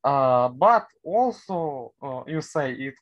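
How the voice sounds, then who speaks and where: A young man with a Ukrainian accent, giving unscripted interview answers as a student, haltingly searching for words in a language that is not his first. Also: medium pitch, lively intonation, highs cut off. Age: young adult. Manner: halting, searching for words in non-native English. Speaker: university student, interview guest.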